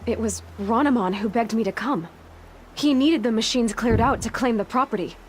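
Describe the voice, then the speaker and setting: An actress playing a capable, inquisitive young hunter heroine in a video game, matter-of-fact and questioning. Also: female voice, on the high side, moderate pace, a little hiss or hum, close to an everyday voice. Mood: focused, curious.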